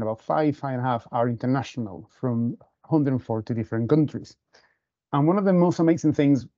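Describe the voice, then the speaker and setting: A man's voice: medium pitch, dark tone, no room sound, highs cut off. A man from Venezuela with a Venezuelan Spanish accent, presenting his university over a video call with eager enthusiasm and frequent restarts. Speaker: university international officer.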